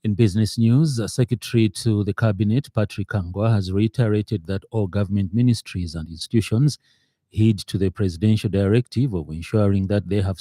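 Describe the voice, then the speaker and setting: Deep, dark-voiced Zambian newsreader. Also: male voice, no echo. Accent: Zambian English. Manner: measured, formal delivery with occasional hesitations. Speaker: radio newsreader. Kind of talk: news bulletin reading. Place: radio studio.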